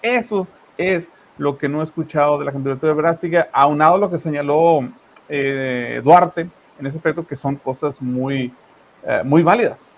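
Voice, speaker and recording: Regiomontano male co-host, emphatic political commentary, slightly high-pitched, faint noise. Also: moderate pace, muffled recording. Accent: Monterrey Mexican Spanish. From northern Mexico, Monterrey. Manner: animated, emphatic, talks in long run-on asides.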